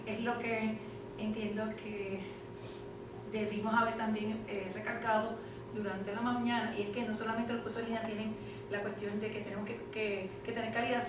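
A middle-aged woman, speaking as a panel moderator in a fluent, emphatic lecturing manner. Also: a little high. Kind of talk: conference presentation. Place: classroom, indoors. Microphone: far camera. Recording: echoey classroom from far off, noisy.

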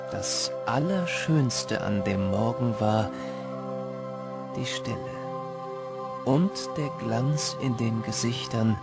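A man playing a wistful narrator who tells his boyhood tale in measured, literary narration. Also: on the low side, gravelly. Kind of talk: scripted narration. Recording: loud music behind.

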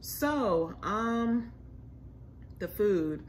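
A woman's voice: medium pitch, animated, very slow, a little hiss or hum. A young woman with an American accent talking casually and informally to camera as a food vlogger. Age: young adult. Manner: informal, chatty, slangy. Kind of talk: casual chat, restaurant review. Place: indoors in a home room with a staircase.

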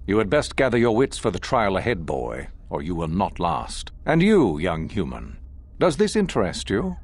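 A man's voice: sits low, wildly swooping pitch, normal speed, breathy edge, heavily acted. A man playing a commanding older Sith master in a video game, speaking in theatrical, condescending oratory. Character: commanding older male Sith master, sinister, solemn and goading. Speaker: academy master addressing recruits. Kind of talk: dramatic scripted video game acting.

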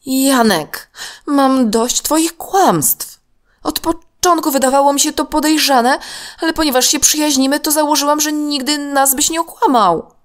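A woman's voice: high voice, a little slow, voice pushed up. A woman voicing a bossy, upset young girl in a children's story, speaking indignantly and emphatically. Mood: upset, scolding.

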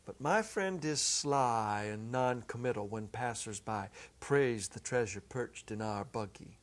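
Male narrator, middle-aged, medium pitch, unhurried and deliberate, for expressive literary storytelling. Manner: expressive, deliberate, with dramatic pauses. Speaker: narrator, reader. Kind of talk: dramatic reading of a literary short story. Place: indoor set, seated at a music stand. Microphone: clip-on lapel.

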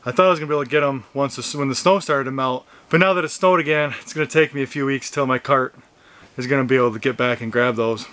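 A man's voice: medium pitch, fast talker, fairly bright tone, no echo. A man in his thirties talking easily to a handheld camera at arm's length, thinking aloud inside a greenhouse on a snowy farm. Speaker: homesteading vlogger. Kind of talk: casual vlog talk to camera.

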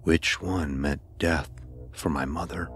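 A man playing an anguished blind youth, telling his ordeal in grave, dramatic, deliberate first-person horror narration. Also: rumbling low, fairly even intonation, a bit bright, breathy edge, full character voice. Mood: grave, dread.

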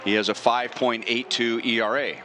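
A man calling a baseball game play by play from the broadcast booth, steady and informative. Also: mid-range voice, moderate pace. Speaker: play-by-play announcer.

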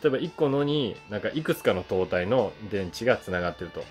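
A man in his forties casually reminiscing to camera in an animated, conversational way, acting things out with sound words. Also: lowish voice, a little fast. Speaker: voice actor and YouTuber telling memories. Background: soft guitar music.

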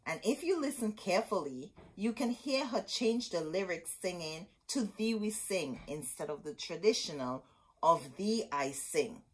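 A middle-aged woman hosting a storytime, reading a picture book aloud expressively with dramatic, deliberate phrasing and asides to her listeners. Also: lowish voice, expressive, slightly rough, low-quality recording. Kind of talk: expressive children's read-aloud. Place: small indoor room with bookshelves.

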